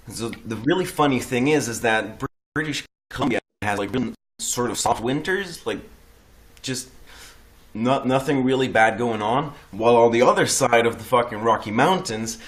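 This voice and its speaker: A man's voice: medium pitch, moderate pace. A man from the Netherlands chatting casually as a guest, hesitant, often restarting his sentences and swearing offhandedly. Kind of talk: casual chat.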